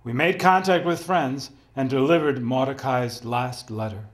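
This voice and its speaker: A man's voice: mid-range voice, measured pace, lightly acted. A man in his fifties giving a dramatic reading as a somber, haunted resistance survivor.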